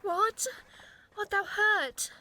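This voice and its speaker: A woman's voice: extremely high pitch, drawn-out words, bright, forceful tone, heavily acted. A woman playing an anxious, loyal friend who witnesses a brawl, urgent and emphatic in a Shakespeare audio drama. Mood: alarmed, grieving.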